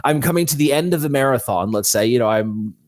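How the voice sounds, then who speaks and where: A man's voice: mid-range voice, lively intonation, quick pace, dry recording. A man speaking as a guest author in a conversational interview, explaining things earnestly.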